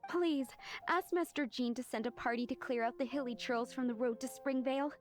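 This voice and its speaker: A woman's voice: sits high, a little fast, lightly acted. A woman playing a polite, earnest townswoman in an anime video game. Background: music.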